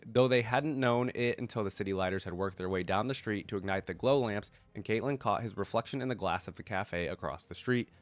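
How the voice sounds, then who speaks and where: Low-voiced man, congested with a cold. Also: no echo. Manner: informal, thinking aloud, addressing viewers. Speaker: reactor reading game lore aloud and commenting. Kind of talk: casual reaction commentary mixed with story reading.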